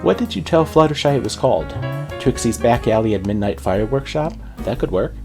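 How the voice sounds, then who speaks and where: A man's voice: mid-range voice, lively intonation, fairly quick, music in the background, lightly acted. A man voicing a boastful, theatrical female pony stage magician with a grandiose, self-assured manner in a storybook reading. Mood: emphatic.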